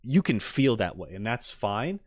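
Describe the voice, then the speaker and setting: A man's voice: on the low side, very animated, a little slow. A man casually talking to his stream chat as a reaction streamer, giving his opinions emphatically. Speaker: streamer reacting to game trailers.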